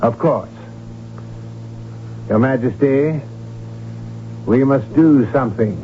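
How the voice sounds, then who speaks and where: An actor as a scheming, deferential court prince. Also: male voice, mid-range voice, takes it slowly, slight background noise, natural voice. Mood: solemn, urgent. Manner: formal, deferential, pressing. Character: scheming, obsequious, insistent prince at imperial court. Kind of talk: 1970s radio drama acting. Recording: muffled, humming old radio broadcast recording.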